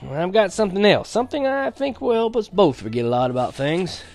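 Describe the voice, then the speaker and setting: A man voicing a kindly, excitable old country grandfather in a storybook, with a folksy, emphatic way of talking. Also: high voice, a little fast, faint music behind, pitched above the performer's own voice. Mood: excited.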